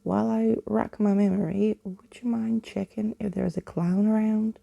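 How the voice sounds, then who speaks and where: A woman voicing a cheeky gap-toothed street-thief boy in a soft, whispery children's storybook reading. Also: mid-range voice, steady tone, normal speed, gravelly, dull audio.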